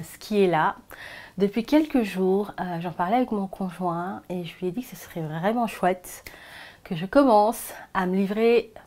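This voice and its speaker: A woman, sharing her personal story in a soft, hesitant way with frequent pauses. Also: medium pitch. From Senegal. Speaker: vlogger. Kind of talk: personal confessional vlog.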